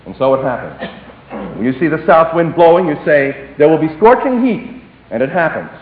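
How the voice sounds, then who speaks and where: Male preacher, high and very animated voice, emphatic with sudden hushed drops, echoey hall. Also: normal speed. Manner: emphatic, dramatic swings between loud and hushed delivery. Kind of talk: sermon. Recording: echoey hall, tinny phone-like sound.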